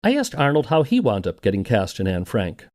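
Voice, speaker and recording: A man's voice: fairly low pitch, huge pitch swings, a little fast, darkish tone. A man narrating a scripted documentary podcast as its host and interviewer.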